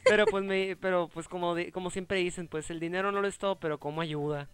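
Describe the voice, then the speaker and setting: Clear-voiced man. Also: high voice, steady tone, quick pace, fairly bright tone, dry recording. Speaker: game show host. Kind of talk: casual chat while playing.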